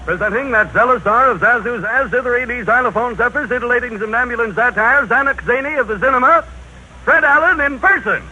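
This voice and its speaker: A man's voice: very high-pitched, brisk, highs cut off, noisy background. An American man announcing a 1930s radio show in a theatrical showman's manner. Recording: 1930s radio broadcast, music behind.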